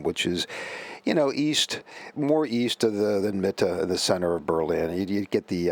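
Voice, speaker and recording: Chatty middle-aged man, low voice. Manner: rambling, chatty, lots of fillers and self-corrections. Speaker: vintage computer channel host. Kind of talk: casual commentary.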